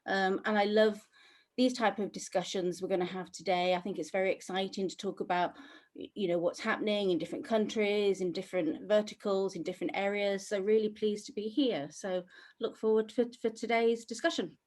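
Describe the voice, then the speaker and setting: A woman's voice: mid-range voice, steady tone, fairly quick, a little mellow. A woman speaks in an upbeat way, sprinkling her sentences with ums. She is introducing herself on a panel. She is heard over a video call. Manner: upbeat, with frequent filler ums. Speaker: sales executive, panelist.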